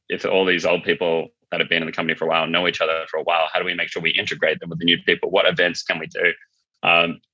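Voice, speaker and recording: A man's voice: low voice, bright, forceful tone, no room sound, lo-fi sound. A man talking casually and informally as a guest in an interview, often saying "like" and "you know". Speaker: company founder being interviewed.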